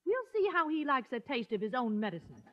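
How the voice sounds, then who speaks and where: An actress playing a fussy but firm middle-aged wife with an American accent, in 1950s radio sitcom style. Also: female voice, on the high side, big pitch swings, brisk. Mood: fluttery. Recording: muffled vintage radio broadcast.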